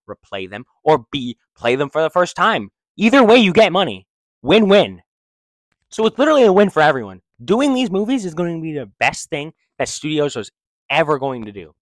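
A young man in a living room, rambling excitedly in slangy casual talk into a handheld mic and restarting his sentences. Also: a little high, dry recording. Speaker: vlogger. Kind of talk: casual opinion vlog. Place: living room, seated in armchair. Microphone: handheld mic held close.

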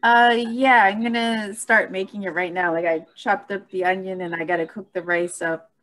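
A woman chatting on a video call, talking hesitantly with pauses. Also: mid-range voice, fairly even intonation, a bit bright, poor audio. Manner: hesitant, with fillers. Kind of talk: casual video call chat.

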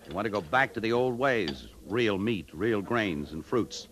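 An actor with an American accent playing a cynical, wry advertising executive who narrates a 1950s radio drama. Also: male voice, fairly low pitch, expressive, measured pace. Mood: weary, guarded. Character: self-respecting, cynical advertising executive on the run. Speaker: narrator and lead. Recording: 1950s radio drama, loud music underneath.

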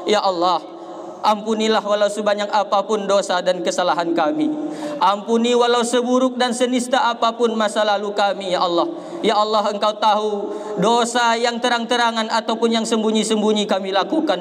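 A young man preaching an Eid sermon and prayer from a pulpit outdoors, impassioned and rising to shouts on key lines. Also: high voice, long reverb tail.

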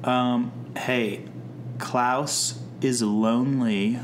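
A man co-hosting a casual podcast, reading plot notes aloud and making dry, deadpan jokes. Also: mid-range voice, drawn-out words, slight background noise. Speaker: podcast co-host. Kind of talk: casual chat recapping a TV episode.

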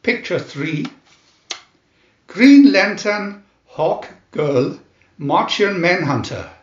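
Slow, high-pitched man announcing in echo. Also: big pitch swings. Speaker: booklet reader and channel host. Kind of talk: slow announcer-like scripted narration for children. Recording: roomy echo, dull lo-fi sound.

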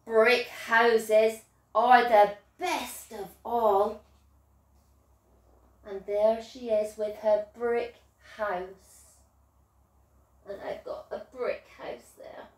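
Woman reading a kids story, high lively sing-song voice, really slow, echoey living room. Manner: sing-song, emphatic, addressing young children. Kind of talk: animated children's story reading. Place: small living room, indoors. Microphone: distant camera. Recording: roomy echo, far from the mic.

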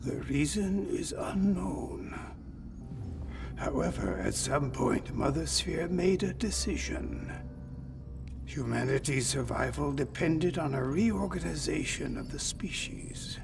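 An actor playing an ancient, grave cyborg sage, telling things in a solemn, measured way in a video game. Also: male voice, a little high, animated, vocal fry, far from the mic, over music, exaggerated voice. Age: older. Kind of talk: video game cutscene acting.

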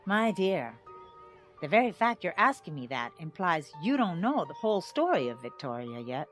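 A wise, solemn woman teacher in an audio drama, unfolding a parable with deliberate weight. Her lowish voice rises and falls widely, over soft music. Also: big pitch swings. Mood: solemn, didactic.

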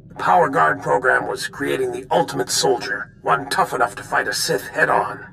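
An actor playing a grave, authoritative military general in a video game cutscene. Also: male voice, mid-range voice, moderate pace, vocal fry, bright, forceful tone, a little hiss or hum, rough recording. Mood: regretful, grave.

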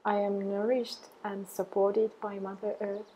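A woman guiding an affirmation meditation in a soothing, measured way, with long pauses between deliberate phrases. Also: mid-range voice, completely flat delivery, dark tone, a little hiss or hum, low-quality recording. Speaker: meditation guide.

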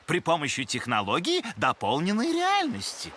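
A cheerful young moose from a children's cartoon. He explains like a know-it-all, with a hugely varied, swooping pitch over clear background music. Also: huge pitch swings, moderate pace, bright, forceful tone, heavily acted. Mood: lecturing. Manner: lecturing, upbeat. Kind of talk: animated explainer dialogue. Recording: music behind the voice.

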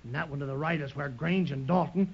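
An actor playing a rough, bossy, short-tempered villainous sailor with a gruff, salty way of talking, in old-time radio adventure style. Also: male voice, on the high side, fast. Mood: scheming. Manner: gruff, salty uneducated seaman's talk. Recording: dull lo-fi old radio recording.

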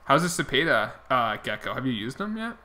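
Young man, game streamer, bright voice, rambling casual chat, voice wearing out. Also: medium pitch, sing-song, fairly quick. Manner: rambling, talks to chat viewers by name. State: losing his voice. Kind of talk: casual chat while playing.

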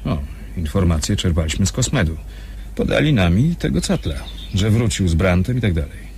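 Brisk, impatient officer for radio drama, low-pitched, curt, over audible background noise. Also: male voice, big pitch swings, darkish tone. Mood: businesslike. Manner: curt, businesslike. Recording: noisy recording with background ambience.

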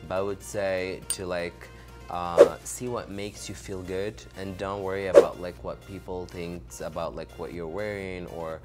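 A young man from Morocco speaks in a very low voice as a fashion designer guest on a studio couch. He answers in a relaxed, casual way, leaning on "like" fillers, into a desk mic while music plays clearly underneath. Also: unhurried. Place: studio set, seated on a couch. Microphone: desk microphone on a stand.

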